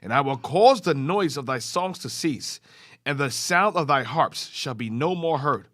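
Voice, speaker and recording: A man reading scripture aloud in a class, with a steady, formal reading cadence. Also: on the high side, a little slow.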